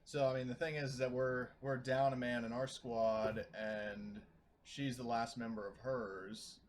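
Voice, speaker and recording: A man's voice: mid-range voice, level tone, measured pace, a little hiss or hum. A man talking casually as a player in a tabletop roleplaying game.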